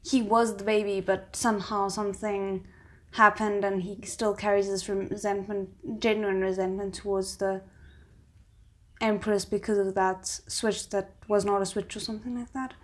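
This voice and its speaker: A woman giving casual reaction commentary as a host, thinking aloud with expressive exclamations. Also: mid-range voice, normal speed. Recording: noticeable background noise.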